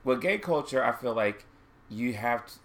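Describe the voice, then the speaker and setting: A man's voice: medium pitch, lively intonation, normal speed. A man of about forty co-hosting a candid chat, rambling and losing his thread. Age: 40s. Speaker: podcast co-host.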